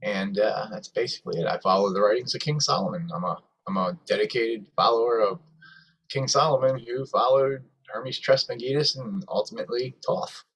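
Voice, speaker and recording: A man giving a halting self-introduction as a guest student, with many pauses and fillers. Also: medium pitch, fairly bright tone, recorded from a distance, low-quality recording. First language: not English (jokes "broken English"). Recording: voice from away from the mic.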